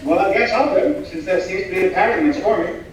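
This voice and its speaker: A man's voice: sits high, animated, a little fast. A man playing a defensive, deferential assistant in a comedy staged reading, earnest and protesting. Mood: pleading. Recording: distant mic, echoey room, faint noise.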